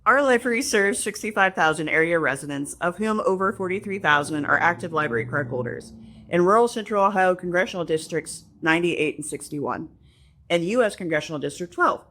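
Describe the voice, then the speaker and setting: A woman's voice: fairly low pitch, lively intonation, brisk, fairly bright tone. A woman, a library assistant director, reading prepared figures and adding friendly casual asides.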